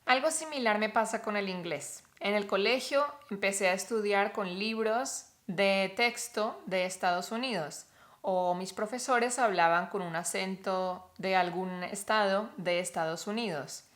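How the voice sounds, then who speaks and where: A Colombian woman with a Colombian accent who runs a Spanish-teaching vlog, explaining clearly and step by step to the camera. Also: mid-range voice, measured pace, fairly bright tone.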